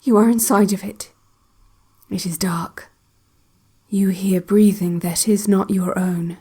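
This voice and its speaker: Slow, controlled relaxation-tape guide. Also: female voice, medium pitch, fairly even intonation, slightly rough. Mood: hypnotic, eerie. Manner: measured, deliberate, hushed, detached. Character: woman narrator of an institutional relaxation cassette, controlled, quietly ominous. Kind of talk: slow guided visualization, scripted audio drama.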